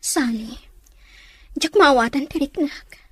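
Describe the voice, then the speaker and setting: A woman's voice: high voice, animated, takes it slowly, fairly bright tone, heavily acted. A woman playing a lovelorn, troubled young woman in a radio drama, hesitant and plaintive.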